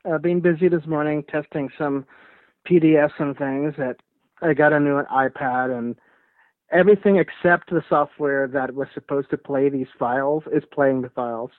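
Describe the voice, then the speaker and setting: A man from Rico, a writer and editor who chats casually as a guest in a wry, relaxed way, with small hesitations. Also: a little high, normal speed, no room sound. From Puerto Rico. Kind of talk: casual interview chat. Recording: dry, tinny phone-like line.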